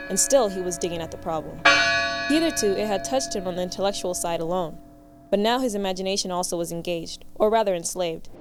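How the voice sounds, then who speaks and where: Woman narrator, medium pitch, formal storytelling, radio play narration, prominent music underneath.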